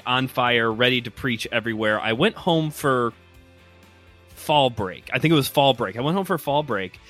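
Bright-voiced Chicago man telling a story. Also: medium pitch. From Chicago area, US Midwest. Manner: friendly storytelling with fillers. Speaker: guest host, preacher. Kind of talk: casual podcast chat.

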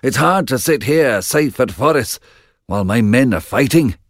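A man's voice: mid-range voice, lively intonation, unhurried, no room sound, studio quality, voice pushed up. A man voicing a worried, good-hearted old king who speaks anxiously.

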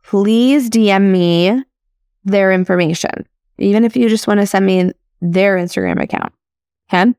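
A woman's voice: medium pitch, expressive, moderate pace, a little mellow, smooth, no echo, clean studio sound. A woman in her thirties hosting a podcast, talking through personal reflections in a chatty, upbeat way full of casual fillers. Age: 30s. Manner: chatty and upbeat, with frequent "like" and "crazy". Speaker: podcast host.

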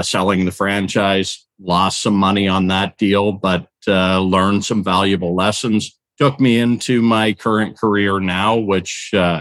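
A man's voice: low-pitched, fairly bright tone, dry recording. A man in his forties, a podcast co-host, telling his background in a rambling, conversational way with fillers. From Canada. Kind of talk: casual podcast chat.

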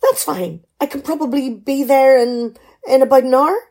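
Woman acting middle-aged wife, radio drama, nagging, highish voice, soft-edged tone, exasperated. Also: normal speed. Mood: guarded. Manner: exasperated, sarcastic scolding.

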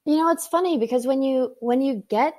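A Canadian woman in her twenties, chatting as a guest in an interview, upbeat and quick to laugh. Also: high voice, a little mellow. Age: 20s. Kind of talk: casual interview chat.

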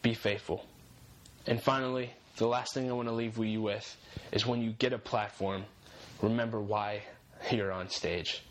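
A man's voice: fairly low pitch, steady tone, normal speed. Earnest young man giving faith-based encouragement. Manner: earnest, encouraging, repeats key phrases for emphasis. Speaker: podcast host.

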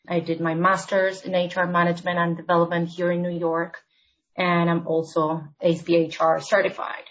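A woman from Argentina, a consultant guest on a podcast, explaining her points in a measured, structured way. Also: fairly low pitch, little pitch movement, dull audio. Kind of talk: podcast interview.

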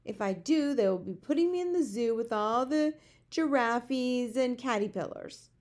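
A woman voicing a gentle, gloomy male giant from a children's storybook, speaking in muddled homespun grammar with invented words. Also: fairly high pitch, expressive, normal speed, voice pushed up, lightly acted. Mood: stubborn. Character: big friendly giant, male, gentle, gloomy, word-mangling. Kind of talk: children's storybook character reading.

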